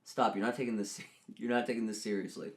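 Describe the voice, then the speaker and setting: A man's voice: fairly low pitch, fairly even intonation, quick pace. A young man from Texas on a podcast, chatting casually and rambling with many restarts and self-mocking jokes. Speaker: podcast co-host and actor. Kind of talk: casual chat.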